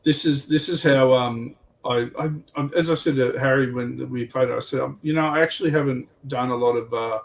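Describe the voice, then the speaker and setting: A man's voice: mid-range voice, fairly even intonation, a little fast, creaky, few pauses. A man chatting casually as a guest hobbyist, often repeating words as he explains, recorded at some distance from the mic. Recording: distant mic with faint background noise.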